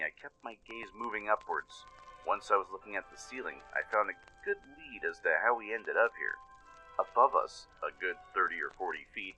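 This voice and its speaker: A man narrating a dramatic first-person story in an expressive, suspenseful manner. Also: sits low, low-quality recording. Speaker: narrator and producer of a fan audio drama. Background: music.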